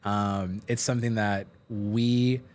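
A man in his thirties hosting a casual faith podcast from a small studio, upbeat and quick to laugh. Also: fairly low pitch, steady tone, darkish tone. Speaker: podcast host. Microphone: boom-arm studio mic.

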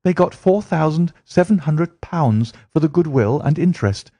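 A man's voice: lively intonation, dark tone, no room sound. A man voicing an earnest, flustered young woman pouring out her troubles in a storybook reading. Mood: indignant. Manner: breathless, rushing, rising high pitch.

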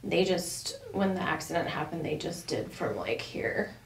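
A woman's voice: sits low, expressive, normal speed, vocal fry. A woman in her thirties speaking casually as a patient describing her medical history. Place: indoor chiropractic office. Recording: roomy, distant, faint office noise.

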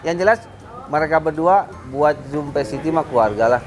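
A middle-aged man, the cafe owner, joking quickly in casual chat at an outdoor garden. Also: on the high side.

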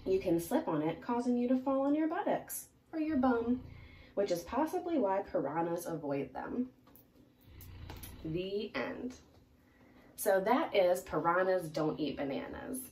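A young adult woman presenting a picture-book read-aloud in an expressive, emphatic way for young children. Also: medium pitch, measured pace. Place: small indoor room, seated in armchair. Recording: roomy, distant mic, faint noise.

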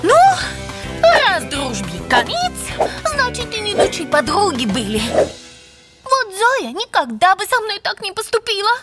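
A woman's voice: very high-pitched, wildly swooping pitch, unhurried, heavily acted. A woman voicing a theatrical, self-pitying winged pig in a cartoon, gushing and plaintive, with music behind her. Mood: sulky. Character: melodramatic, self-pitying winged pig girlfriend, squeamishly dramatic. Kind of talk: animated cartoon voice acting.

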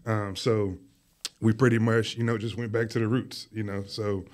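A man raised in Philadelphia, whose first language was Arabic, talking casually as a podcast guest in a school studio, telling his story in a relaxed way with many fillers. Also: on the low side, normal speed. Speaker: guest, artist and art teacher.

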